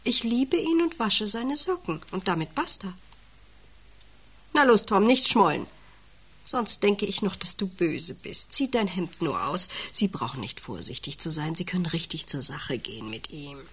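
Volatile possessive wife, husky, wildly swinging pitch. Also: female voice, sing-song, a little slow, exaggerated voice. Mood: bossy, cooing. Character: volatile, possessive wife, by turns cooing, bossy and desperate. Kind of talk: satirical German radio drama acting.

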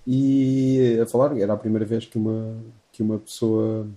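A man's voice: on the low side, steady tone, moderate pace, mellow. A man with a European Portuguese accent, explaining things at length in casual chat, often correcting himself mid-sentence. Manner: rambling, self-correcting explanations.

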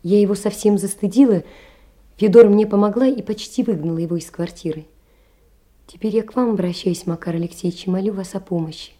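An actress in an audio drama playing a distressed but proud young poor woman, pleading earnestly in a soft, hushed voice. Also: medium pitch, a little fast, close to an everyday voice. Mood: desperate pleading. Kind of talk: theatrical audio drama letter monologue.

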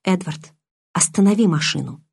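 Woman acting a calm, firm young peacemaker sister. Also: on the low side, moderate pace, husky, no room sound, studio quality. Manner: quiet, even-tempered, reasonable. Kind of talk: dramatised audiobook reading.

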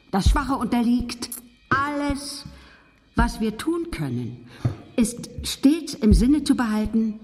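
Slow, ruthless commanding woman. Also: medium pitch, darkish tone. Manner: declamatory, imperious, deliberate. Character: ruthless, commanding woman preaching survival of the strongest. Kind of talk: radio play monologue. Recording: long reverberant hall, faint music. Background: faint music.

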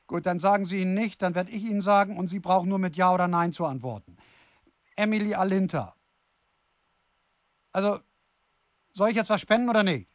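Actor as an agitated, halting man, recorded dry. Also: high-pitched, dry recording, close to an everyday voice. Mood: insistent. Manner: halting, blurting, insistent. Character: agitated, insistent, fumbling man desperate to help. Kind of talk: German radio drama acting.

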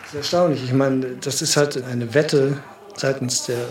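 In an echoey room with faint noise, a male reporter played by an actor asks questions at a middle pitch. He is probing and a little hesitant, in a satirical documentary style. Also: steady tone, moderate pace, some chatter behind, close to an everyday voice. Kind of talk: mock-documentary interview in radio drama. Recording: roomy echo, faint ambient noise.

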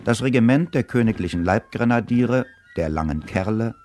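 A man's voice: fairly low pitch, animated, normal speed, a little mellow, a little hiss or hum, close to an everyday voice. A man narrating a radio play, reading out scene settings and characters as scripted announcement.

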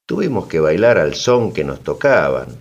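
A man playing an indignant, sardonic storyteller in radio theatre, talking conversationally with expressive irony. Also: deep voice, moderate pace, highs cut off, exaggerated voice. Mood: wry storytelling. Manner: expressive, ironic, mimicking orders. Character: indignant, sardonic male storyteller recounting colonial exploitation.

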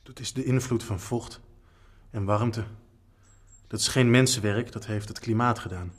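An actor playing a calm, analytical investigator in a radio drama, measured and explanatory. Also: male voice, lowish voice, husky. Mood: matter-of-fact. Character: calm, analytical male companion working out a mystery.

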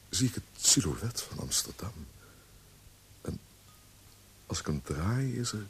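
An actor playing a roguish, self-doubting poet in a radio play, playful and smitten, with a hesitant way of speaking. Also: male voice, rumbling low, gravelly, fairly bright tone, exaggerated voice. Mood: moved, wondering. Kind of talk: Dutch radio play acting. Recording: noisy old radio play recording.

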